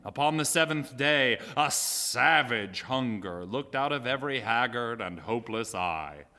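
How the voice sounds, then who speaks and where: A man acting as a grave storyteller, narrating theatrically in a live radio drama with measured delivery. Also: medium pitch, expressive, sharp tone. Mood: grave, ominous. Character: grave male storyteller recounting a snowbound train disaster. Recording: live theater hall, long reverb, music.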